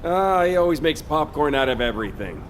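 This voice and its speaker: An actor playing a keen, practical young soldier in a video game, talking in an earnest, chatty way. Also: male voice, fairly high pitch, big pitch swings, brisk, slight background noise. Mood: earnest, curious.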